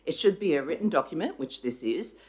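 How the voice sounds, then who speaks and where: A councillor speaking at a formal council meeting in a council chamber, using a desk microphone. The speaker is measured and procedural, and sets out the context before asking a question. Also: medium pitch, animated.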